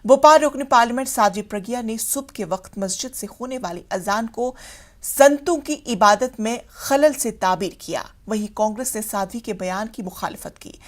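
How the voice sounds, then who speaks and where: A woman news presenter reading a scripted report in a formal manner. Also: a little high.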